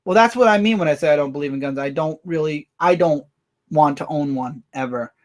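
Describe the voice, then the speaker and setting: A man hosting a casual livestream, rambling through viewer comments with quick asides. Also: a little high. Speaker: livestream host.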